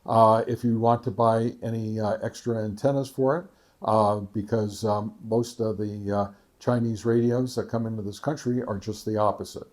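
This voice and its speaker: A man hosting a casual product test, talking in a methodical, halting way and reading out figures deliberately. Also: on the low side, level tone, a little mellow. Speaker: video host, radio reviewer.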